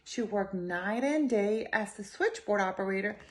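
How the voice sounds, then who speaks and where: A woman's voice: medium pitch, normal speed. Woman reading expressively to young children. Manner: expressive, emphatic, addresses young listeners directly. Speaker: judge reading a children's story. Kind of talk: storytime read-aloud to young children.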